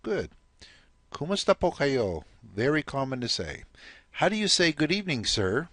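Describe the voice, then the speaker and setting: A man's voice: mid-range voice, very animated, normal speed, a bit bright, no echo, studio quality. A man teaching a recorded language lesson, patient and explanatory, prompting the listener to repeat.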